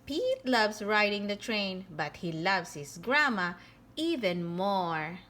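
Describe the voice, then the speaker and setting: A woman reading a children's picture book aloud in an expressive, animated storytelling manner. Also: mid-range voice, takes it slowly. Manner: expressive, with animated character lines.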